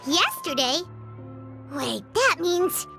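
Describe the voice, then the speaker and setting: Super high little fairy sidekick girl, game style, cutesy n emphatic, really swingy pitch. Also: sing-song, slow, creaky, bright, forceful tone, soft background music, full character voice. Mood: startled, puzzled. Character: small childlike floating fairy companion girl, earnest and indignant. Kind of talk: English video game voice acting.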